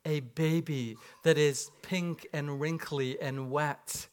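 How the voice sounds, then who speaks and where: Playful higher-voiced man preaching. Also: a bit bright. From Japan. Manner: conversational, playful preaching with rhetorical questions. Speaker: pastor. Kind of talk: sermon. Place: church sanctuary.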